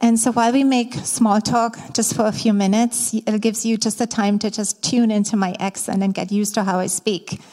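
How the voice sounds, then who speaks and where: Middle-aged German woman, guest preacher, mid-pitched, speaks openly with light fillers, long church-hall reverb. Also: little pitch movement. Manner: warm, candid, slightly halting with fillers. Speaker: guest speaker, ministry staff. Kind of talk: sermon to a church congregation. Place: church sanctuary.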